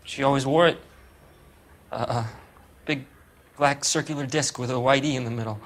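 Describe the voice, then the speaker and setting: A man acts out an anxious American suspect in a crime drama game. His voice sits at a middle pitch and sounds defensive, nervous and hesitant. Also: fairly even intonation, moderate pace, a bit bright, lightly acted. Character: anxious, defensive male bowling alley worker suspect. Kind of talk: video game voice acting, 1940s crime drama.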